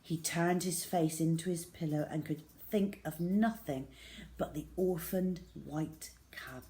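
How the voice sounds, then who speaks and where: A woman reading a children's story aloud in a measured, expressive, dramatic way with hushed emphasis. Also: low voice.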